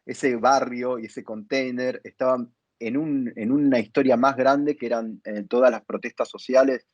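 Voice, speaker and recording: A man speaking as an interviewer in a conversational panel, phrasing his thoughts in a halting, reflective way. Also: medium pitch, fairly even intonation, no echo. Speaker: interviewer and theatre dramaturg. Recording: dull lo-fi sound.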